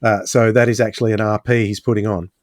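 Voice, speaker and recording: A man hosting a casual podcast discussion, speaking in halting, reflective phrases. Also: fairly low pitch, level tone, dry recording, studio quality.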